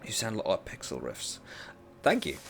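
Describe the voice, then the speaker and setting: Deep-voiced Norwegian man with a sore throat. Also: wildly swooping pitch, normal speed, vocal fry, slight background noise. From rural Western Norway. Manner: chatty, rambling, many restarts. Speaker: streamer. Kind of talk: casual chat while gaming. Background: faint music.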